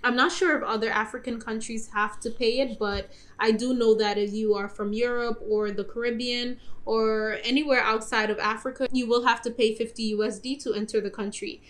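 A young woman with a slightly high voice sits in a bedroom and talks straight to the camera. She hands out travel tips in a chatty, direct way, calling her viewers guys. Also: fairly bright tone. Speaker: travel vlogger. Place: indoor bedroom.

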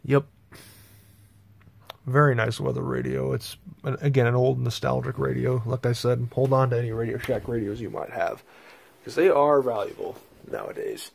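An American man with a slightly gravelly voice giving a casual, halting, rambling video tour indoors on a handheld phone. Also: medium pitch. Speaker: host, hobbyist collector. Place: small bedroom, indoors. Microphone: handheld phone, speaker behind the camera.

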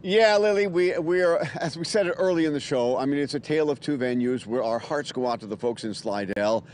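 An older man working as a TV field reporter, chatting and haltingly interviewing outdoors on a handheld microphone. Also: darkish tone. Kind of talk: live TV interview. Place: outdoor city plaza at night. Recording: outdoor rumble, faint noise.

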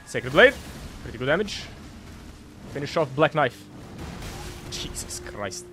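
A young man with a Croatian accent talks through a headset while he plays, greeting chat and reacting loudly to every hit. His pitch swings widely between excited highs and muttered lows. Faint music plays under him. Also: measured pace. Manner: excitable, swears and laughs while fighting bosses. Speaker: game streamer. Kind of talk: live gameplay commentary reading chat. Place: home streaming room, indoors.

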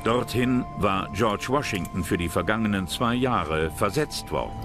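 A man's voice: fairly low pitch, lively intonation, unhurried, music in the background. A man reading scripted historical documentary narration as the narrator.

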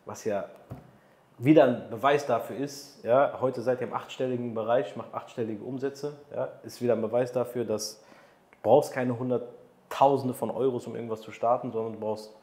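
A man's voice: mid-range voice, fairly even intonation, moderate pace, darkish tone, roomy sound. A man in his thirties hosting an interview podcast, asking questions and driving home motivational points with emphasis. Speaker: podcast host. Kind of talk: interview conversation. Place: studio room with city view. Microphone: desk microphone on boom arm.

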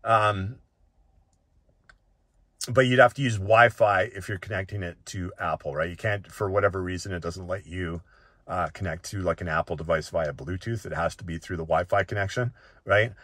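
A man's voice: low-pitched, moderate pace, fairly bright tone, no room sound. A man talking casually and off the cuff through a device tutorial, conversational and often checking in with the listener. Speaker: tech reviewer giving a device walk-through. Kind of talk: casual, unscripted tutorial talk.

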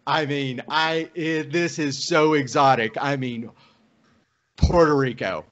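A man's voice: fairly high pitch, fairly even intonation, slow, a bit bright. A middle-aged American man from Oklahoma telling a casual personal story as a podcast guest on a video call. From Oklahoma, United States. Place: indoor room on a video call. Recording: dull lo-fi video call audio.

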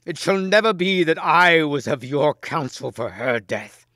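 A man's voice: medium pitch, measured pace, fairly bright tone, no room sound, studio quality, pitched above the performer's own voice, natural voice. A man voicing a loyal, principled knight in an earnest, impassioned manner for a story. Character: loyal, principled knight Gawain pleading with his king.

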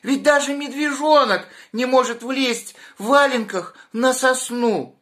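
A small, curious hedgehog boy from a storybook, high and eager, his bright voice leaping up with every question, as a man puts him on. He talks slowly, as if puzzling things out aloud. It sounds close and clear, taped in a small room at home. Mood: wondering.

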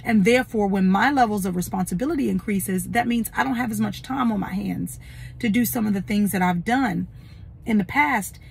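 A slightly hoarse middle-aged woman, a life coach, talking casually and reflectively to her followers in an encouraging way. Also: mid-range voice, brisk. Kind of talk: casual motivational talk to camera. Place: inside a parked car. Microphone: phone at arm's length. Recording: noticeable background noise.

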